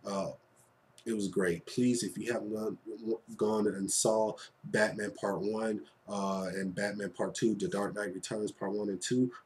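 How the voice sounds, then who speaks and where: Male online reviewer, lowish voice, informal and gushing, conversational fan delivery with repeated phrases for emphasis. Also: fairly even intonation, a little slow, a little mellow. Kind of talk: casual, enthusiastic fan review to camera or mic.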